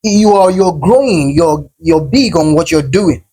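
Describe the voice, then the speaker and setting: A man's voice: on the high side, lively intonation, a little slow, warm tone, slightly rough. A man hosting a casual podcast interview, talking with enthusiasm and heaping praise on his guest. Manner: enthusiastic, encouraging, repeats praise. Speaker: podcast host.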